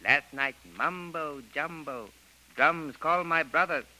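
A man's voice: fairly high pitch, takes it slowly, pushed and bright, exaggerated voice. A man playing a deferential, evasive native guide in clipped broken English, in an old radio adventure. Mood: deferential, guarded. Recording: vintage radio recording.

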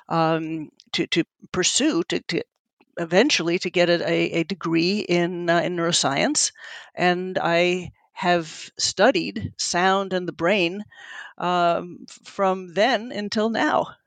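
A woman's voice: on the low side, fairly even intonation, slow, no echo. A middle-aged woman whose first language is Italian, a neuroscientist answering interview questions in an earnest, thoughtful way, often searching for words. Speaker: neuroscientist, lab head. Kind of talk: interview guest, conversational.

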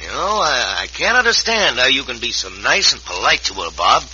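An actor playing an uneasy outlaw accomplice in a radio western, folksy and questioning. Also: male voice, medium pitch, big pitch swings, fairly quick, sharp tone. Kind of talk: old-time radio western acting. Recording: dull, hissy old radio recording.

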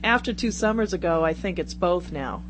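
A woman's voice: lowish voice, animated, moderate pace. A woman chatting casually on a radio talk show, rambling and self-deprecating as she goes. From Brockton, Massachusetts, United States. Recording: very noisy radio recording with hum.

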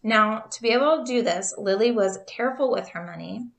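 A woman's voice: a little high, fairly quick, creaky. A woman in her thirties co-hosting a podcast, telling a true crime story in a casual, chatty way, laughing often and stumbling over names.

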